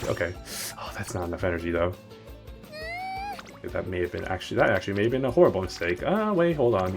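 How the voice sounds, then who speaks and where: A man streaming a game, chatting casually in a rambling, jokey way and correcting himself as he goes. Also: sits low.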